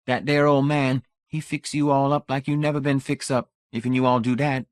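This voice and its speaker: A man's voice: medium pitch, little pitch movement, moderate pace, dry recording, studio quality, pitched above the performer's own voice, natural voice. A man voicing a man who fakes a broad rural Southern drawl, muttering. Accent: put-on rural Southern drawl.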